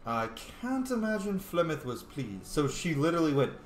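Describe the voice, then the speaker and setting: A man's voice: on the high side, sing-song, moderate pace. A man giving casual, reactive commentary while playing a role-playing game, slangy and sweary in a playful way. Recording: roomy echo, faint noise.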